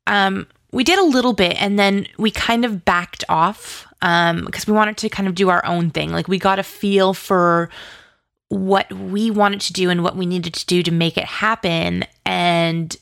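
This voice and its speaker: A woman's voice: medium pitch, fairly even intonation, a little slow, gravelly, a bit bright, no echo, studio quality. A woman speaking casually as an interview guest, in informal, hesitant phrasing peppered with "like". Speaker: guest, audio drama co-creator and writer.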